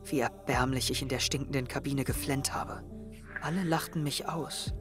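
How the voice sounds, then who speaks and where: A man's voice: mid-range voice, fairly even intonation, moderate pace, over music, lightly acted. A young man playing an earnest, hesitant, guilt-ridden teenage boy in an audio drama, with a subdued manner. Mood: sad, subdued.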